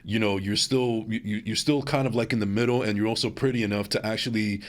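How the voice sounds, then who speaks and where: Low-voiced man hosting a podcast. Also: fairly even intonation, fast talker. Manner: rambling, hedging, many restarts and fillers. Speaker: podcast host. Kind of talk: casual interview chat.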